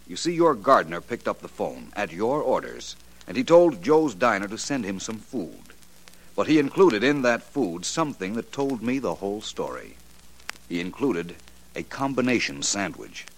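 A suave, cerebral detective in an old-time radio mystery. He lays out his reasoning calmly in a fairly low voice, yet his pitch moves a lot as he talks. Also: low-quality recording. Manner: measured, explanatory. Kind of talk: 1940s radio acting.

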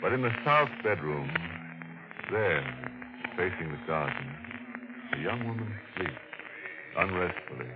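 Macabre male radio horror host, 1940s style: theatrical, slow, tongue-in-cheek, dull noisy broadcast sound. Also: low voice, very animated. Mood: hushed, ominous. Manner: theatrical, drawn-out delivery with morbid jokes. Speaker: radio mystery show host.

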